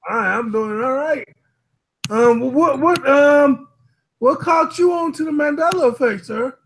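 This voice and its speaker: A man's voice: very high-pitched, measured pace, a little hiss or hum, low-quality recording. A man hosting a casual chat, talking in a loose, slangy way and repeating himself often. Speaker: host.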